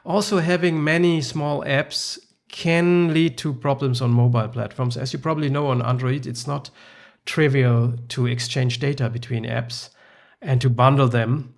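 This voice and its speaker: A man with a gravelly, creaky voice, German his first language, demos his software in a loose, thinking-aloud manner. He pauses often with fillers as he clicks through screens. His pitch sits in the middle for a man. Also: steady tone. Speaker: software developer. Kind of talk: screen-recorded app demo.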